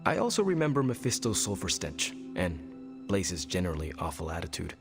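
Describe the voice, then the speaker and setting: An actor playing an earnest, conflicted young superhero who hesitates and searches for words in a game cutscene. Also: male voice, sits low, big pitch swings, moderate pace, gravelly, over music, heavily acted. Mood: troubled, unsure. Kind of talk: video game cutscene acting.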